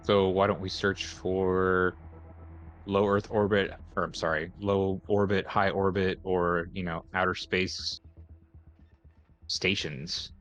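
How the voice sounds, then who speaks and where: A man playing a tabletop roleplaying game, casually pitching plans to the group. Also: little pitch movement, slow, fairly bright tone. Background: background music.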